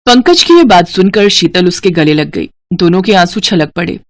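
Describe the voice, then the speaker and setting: A woman's voice: on the low side, expressive, moderate pace, no echo. A woman reading scripted storytelling narration for a Hindi-style family cartoon.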